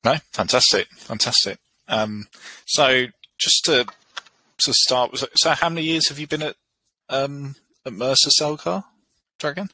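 A man's voice: medium pitch, a little slow, pushed and bright, no room sound, poor audio. A man hosting a podcast interview, asking hesitant, encouraging questions with frequent fillers. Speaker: podcast host.

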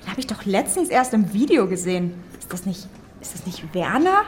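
A woman playing a curious, excitable young time traveler in a scripted audio drama. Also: mid-range voice, normal speed, slight background noise, close to an everyday voice. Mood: amazed, nervous.

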